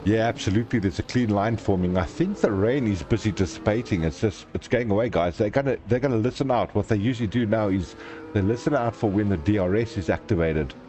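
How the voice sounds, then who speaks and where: A man's voice: lowish voice, fast, mellow, slight background noise. A man hosting a live sim racing stream, calling the race and joking with viewers in the chat. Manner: jokey, chatty, reads viewer comments aloud.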